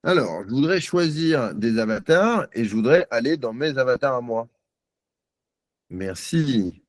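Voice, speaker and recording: A man giving a live software demo on a video call, chatty and self-mocking, thinking aloud with many fillers. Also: mid-range voice, expressive, measured pace, no room sound. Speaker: guest presenter.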